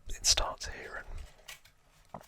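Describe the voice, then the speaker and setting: A man hosting ASMR, whispering informal trivia narration in a hushed, rambling way with casual asides. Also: takes it slowly. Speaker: ASMR host.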